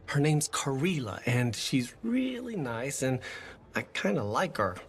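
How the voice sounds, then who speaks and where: An actor playing a friendly, nervous bartender in a video game, chatty, earnest and a little hesitant. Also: male voice, medium pitch, big pitch swings, unhurried.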